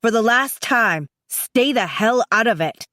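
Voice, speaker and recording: A woman's voice: fairly high pitch, expressive, unhurried, pushed and bright, dry recording, clean studio sound, pitched above the performer's own voice. A woman voicing a defiant sixteen-year-old boy in an audiobook, hot-headed, resentful, combative and insistent. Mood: furious. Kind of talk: dramatised audiobook dialogue.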